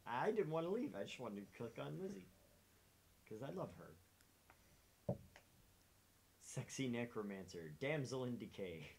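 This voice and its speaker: A woman's voice: a bass voice, animated, measured pace, vocal fry, pauses often, darkish tone, a little hiss or hum. A woman co-streamer bantering casually and playfully over a game. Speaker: gaming co-streamer.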